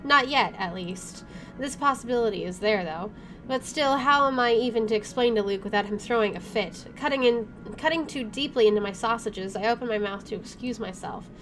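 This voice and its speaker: A woman's voice: on the high side, a little fast, a bit bright. A woman hosting a visual novel playthrough, reading the narration aloud and commenting in an informal, reactive way. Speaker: gaming video host. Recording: music behind the voice. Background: game music.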